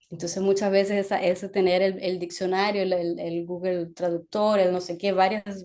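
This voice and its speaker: A woman novelist from Venezuela talking through her ideas in a relaxed discussion, full of restarts and tag questions. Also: on the low side. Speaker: novelist guest. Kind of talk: conversational discussion.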